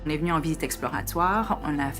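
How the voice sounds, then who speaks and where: A woman's voice: low voice, quick pace, over music. A woman from Belgium, a trade director, speaking in a poised, professional way in a testimonial interview.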